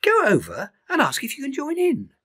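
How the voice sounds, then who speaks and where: Man as a high, brisk winged female creature. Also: wildly swooping pitch, fairly bright tone, full character voice. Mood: encouraging. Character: brisk, encouraging female winged friend of elephant.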